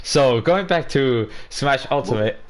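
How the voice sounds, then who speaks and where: A man's voice: mid-range voice, expressive, a little slow. A man of about twenty chatting casually on a podcast as co-host, rambling and restarting his sentences. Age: 20s. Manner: rambling, repeats and restarts words. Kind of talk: casual chat.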